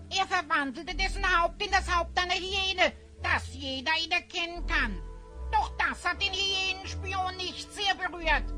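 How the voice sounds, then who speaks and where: An actress playing a small, knowing creature companion in a fantasy audio drama, speaking emphatically like a know-it-all. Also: female voice, extremely high pitch, sharp tone, exaggerated voice.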